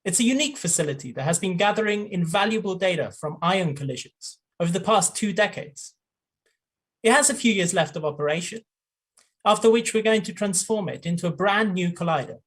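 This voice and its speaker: A man's voice: sits high, lively intonation, brisk, fairly bright tone, breathy edge. Guy hosting a science livestream on a video call, friendly, bit of ums. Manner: friendly, with small laughs and fillers. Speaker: host. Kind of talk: live-streamed science broadcast hosting.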